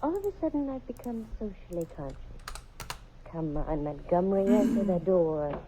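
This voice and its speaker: An actress playing a confident, wisecracking female private detective in an old radio drama, brisk and sure of herself. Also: on the low side, animated, noisy background, close to an everyday voice. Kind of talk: 1950s radio drama acting. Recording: dull, muffled old radio recording.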